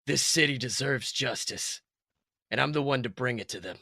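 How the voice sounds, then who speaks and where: A man's voice: medium pitch, normal speed, vocal fry, sharp tone, no echo, voice pushed down. A man voicing a cocky young male fox who plays at being a masked superhero, melodramatic and bluffing, in a comic story reading. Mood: brooding, grandiose.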